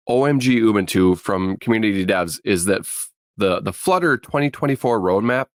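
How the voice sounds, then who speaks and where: A man with a fairly low voice gives tech news and opinion on a podcast. His delivery is halting, with phrases repeated as he goes, and the sound is dry and close. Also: no echo. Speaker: podcast panelist.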